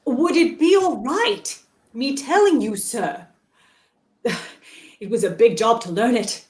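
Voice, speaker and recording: An actress in a 1930s-style radio play voicing a frail, dutiful dying woman who speaks haltingly, with sighs. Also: fairly high pitch, reverberant. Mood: weak, pleading. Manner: halting recitation with sighs and gasps. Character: dying music-hall memory woman, frail, earnest, dutiful.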